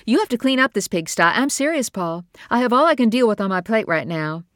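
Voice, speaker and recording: A weary, stern mother, played by a woman reading a storybook, talks to her son. Her voice sits clearly higher and stronger than the narration, brisk and insistent. The recording is dry and close. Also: expressive, a bit bright, dry recording. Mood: scolding. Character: stern tired mother, woman, middle-aged.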